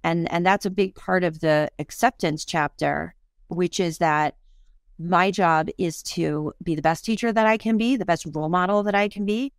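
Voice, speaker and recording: Woman, fifties, parenting coach, earnest, reflective, lower voice, dry close recording. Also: normal speed, clear, resonant tone, no room sound. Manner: earnest, reflective, self-deprecating. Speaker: parenting coach and author, guest. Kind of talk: podcast interview conversation.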